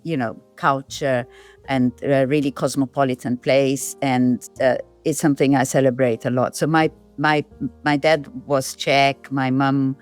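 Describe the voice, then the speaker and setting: A woman's voice: extremely low pitch, fairly even intonation, a little slow, faint music behind. A middle-aged woman from Brazil, a designer and filmmaker, answering interview questions in a reflective, thinking-aloud way. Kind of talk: casual interview talk.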